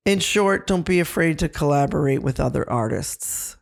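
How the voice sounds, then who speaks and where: A middle-aged woman with a low voice, co-hosting a casual podcast and giving earnest, reflective advice. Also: expressive, normal speed, creaky. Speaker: co-host.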